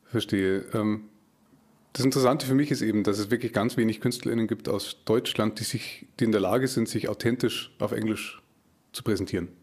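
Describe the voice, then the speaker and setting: A man's voice: on the low side, fairly quick, breathy edge. A man hosting a podcast interview, speaking thoughtfully and often searching for words as he goes. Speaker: podcast host. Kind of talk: interview conversation.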